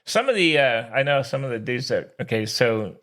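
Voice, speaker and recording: A man's voice: mid-range voice, lively intonation, moderate pace, fairly bright tone. An American man co-hosting a sports podcast, chatting casually in a slangy, exclamatory, teasing way.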